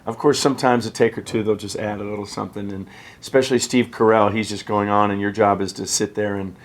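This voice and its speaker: A man's voice: on the low side, flat delivery, fast talker. A man from the United States, an actor guest in a backstage interview, telling stories in an easygoing, chatty way with frequent restarts. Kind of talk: casual interview storytelling. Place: backstage room at a theater.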